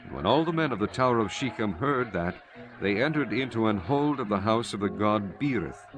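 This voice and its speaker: A man narrating a dramatized Bible audiobook in measured, solemn storytelling with archaic diction. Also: fairly low pitch, expressive. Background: prominent music.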